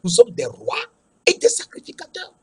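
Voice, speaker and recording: A man with a West African French accent preaching a sermon in an emphatic, repetitive way, with a husky, rough-edged voice. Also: high-pitched, huge pitch swings, moderate pace. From Togo. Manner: emphatic, repeats key phrases.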